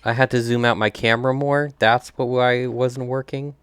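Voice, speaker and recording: A man's voice: medium pitch, fairly even intonation, normal speed, fairly bright tone, clear, resonant tone, no room sound. A man doing casual gameplay commentary, thinking aloud and chuckling often as he streams a video game.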